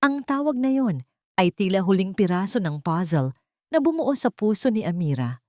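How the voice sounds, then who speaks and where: A woman narrating a scripted dramatic story in a sentimental, deliberate way. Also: mid-range voice, very animated, dark tone, no echo, studio quality.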